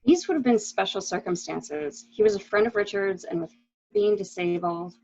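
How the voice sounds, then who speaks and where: A woman's voice: medium pitch, moderate pace, darkish tone, natural voice. A woman acting a fretful, secretive wife who covers for her lover, in a dramatic mystery reading with dull sound. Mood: guarded.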